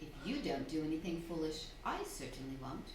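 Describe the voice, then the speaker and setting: An actress playing a young, unhappily married widow in a stage radio drama, speaking emphatically with dramatic pauses. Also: female voice, low voice, lively intonation. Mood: cool, firm. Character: young unhappy widow, cool and composed, pleading when cornered. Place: theatre stage, live performance. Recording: very echoey hall, distant mic, faint noise.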